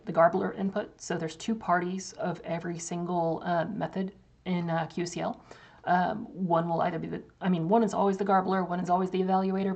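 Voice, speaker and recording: A young woman with a voice going hoarse gives a casual, filler-heavy walkthrough of software on a screen share. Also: lowish voice. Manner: casual, loose, many fillers and restarts. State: losing her voice. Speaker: developer demoing software.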